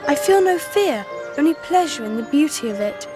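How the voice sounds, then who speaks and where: A woman's voice: high-pitched, expressive, moderate pace, over music. A female actor playing an earnest, defiant, well-bred Victorian girl of twelve with an English accent in a radio drama. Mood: dreamy. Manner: polite, precise, earnest. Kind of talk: 1990s British radio drama acting.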